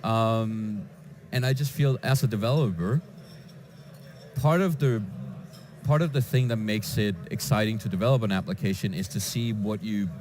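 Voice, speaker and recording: A man from Denmark, a database developer answering interview questions in a conference lounge, with frequent halting restarts. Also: on the low side, darkish tone, slight background noise. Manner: hesitant, with many restarts and fillers.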